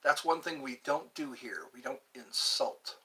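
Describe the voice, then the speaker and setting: A man's voice: medium pitch, a little slow, sharp tone, slightly rough. A man in his fifties hosting a rambling livestream, chatting to viewers and breaking into snatches of old pop songs. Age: 50s. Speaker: livestream host. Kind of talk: rambling livestream chat with singing.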